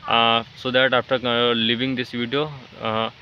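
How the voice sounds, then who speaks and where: A man with an Indian accent, Hindi-speaking by birth, talks in a flat, level tone, stopping often for fillers and restarted phrases. The sound is dull and lo-fi, with the top end rolled off. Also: mid-range voice, slight background noise. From India, Jharkhand. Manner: halting, many fillers and restarts. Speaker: English-learning vlogger. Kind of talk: casual motivational talk to camera.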